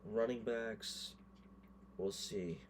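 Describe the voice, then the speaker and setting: Male gaming creator, hoarse, deeper side, loose offhand thinking-aloud commentary. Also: steady tone, drawn-out words, a little hiss or hum. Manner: thinks aloud, muttering verdicts on players. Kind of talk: casual gameplay commentary.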